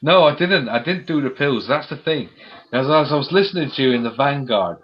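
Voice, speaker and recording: A man's voice: medium pitch, lively intonation, a little fast, a little hiss or hum. A man in casual chat on a stream, colloquial and given to swearing. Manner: swearing, laughing, colloquial British slang. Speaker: stream co-host. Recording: dull lo-fi sound.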